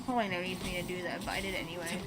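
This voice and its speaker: A woman's voice: on the low side, steady tone, rapid-fire, heavy background noise. A woman game streamer giving casual, playful commentary, chatting and thinking aloud as she plays. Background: video game sounds.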